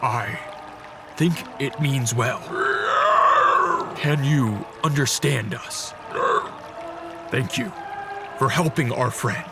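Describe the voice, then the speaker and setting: Commanding vampire leader, grave, earnest, slow delivery, radio drama, music underneath. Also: male voice, medium pitch, vocal fry. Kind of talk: serialized radio drama acting. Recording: loud music bed behind voice.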